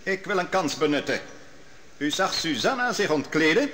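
A probing young prophet-judge. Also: male voice, a little high, animated, bright, forceful tone, long reverb tail, slight background noise. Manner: probing, measured. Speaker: interrogator in court. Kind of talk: vintage radio drama acting.

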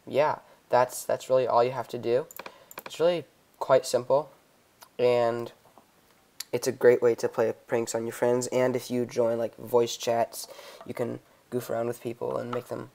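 Even-toned young man, clear voice. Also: mid-range voice, unhurried. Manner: hesitant, improvised explaining. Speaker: tutorial host. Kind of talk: casual screen-recorded software tutorial. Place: indoors, voice-over on a computer screen recording.

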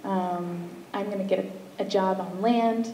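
A young woman tells stories as a panelist on a stage. Her voice has a creaky edge and a medium pitch. It is picked up from a distance in an echoey space. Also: steady tone, measured pace, warm tone. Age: late 20s to early 30s. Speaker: fishing boat co-skipper and panelist. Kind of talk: storytelling on a live panel. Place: auditorium stage, recorded from audience distance. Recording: echoey, distant, noisy hall recording.

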